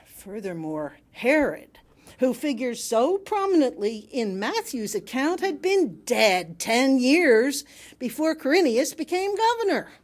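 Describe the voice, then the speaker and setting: Woman reading a wry, measured sermon. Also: high-pitched, big pitch swings. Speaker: reader delivering another minister's sermon. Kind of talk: sermon read aloud to a congregation.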